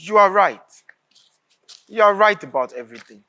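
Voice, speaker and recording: A woman acting an earnest political thinker and confidante in a live stage play, speaking deliberately and emphatically. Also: medium pitch, animated, bright, forceful tone, dull audio. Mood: consoling.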